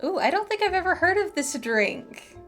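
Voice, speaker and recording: High, animated American woman streaming a game. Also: moderate pace. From eastern United States. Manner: chatty, playful. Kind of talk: casual live gameplay commentary. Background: game music.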